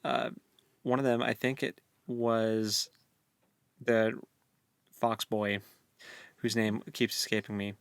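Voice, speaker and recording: A man's voice: on the low side, fairly even intonation, slow, pauses often, clean tone, no room sound. A man in his late twenties with an American accent, co-hosting a casual book discussion in a jokey way, with pop culture asides. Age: late 20s. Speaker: podcast co-host. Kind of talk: casual book club chat.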